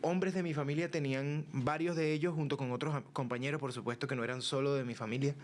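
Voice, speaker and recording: A man's voice: mid-range voice, fast talker, a little hiss or hum. A man with a Caracas accent, a guest musician chatting animatedly in an interview, often restarting his sentences. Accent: Venezuelan, Caracas. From Venezuela. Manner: animated, sings and scats rhythms, frequent restarts. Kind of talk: casual interview chat.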